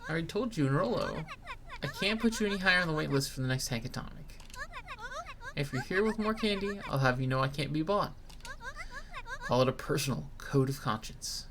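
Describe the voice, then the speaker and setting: A woman's voice: deep voice, animated, fairly quick, long pauses, background noise. A woman voicing a dryly principled, bookish young boy in a video game, with a wry, matter-of-fact delivery. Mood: wry, firm. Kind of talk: dramatic reading of a video game. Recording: music behind the voice.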